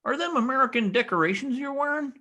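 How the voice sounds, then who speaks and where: A man's voice: very high voice, animated, quick pace, pushed and bright, heavily acted. A man playing a boastful, folksy small-town husband full of slangy bluster in an old-time radio comedy. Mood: boastful, suspicious. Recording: video call recording.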